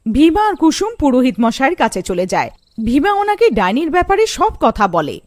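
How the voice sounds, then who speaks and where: A woman's voice: high voice, sing-song, a little fast, a little mellow, no room sound. A woman narrating a scripted cartoon story.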